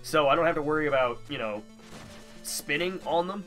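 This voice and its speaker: A man's voice: a little high, a little fast, a bit bright, faint music behind. Stuffy-nosed young man commenting on gameplay. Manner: self-deprecating, rambling, filler-heavy. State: stuffy nose. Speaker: gamer, let's player.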